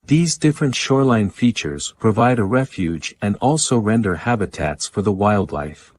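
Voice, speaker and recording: A man with a fairly low voice reads a scripted practice passage aloud as narration. The sound is very dry, without any room around it. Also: expressive, moderate pace, no room sound.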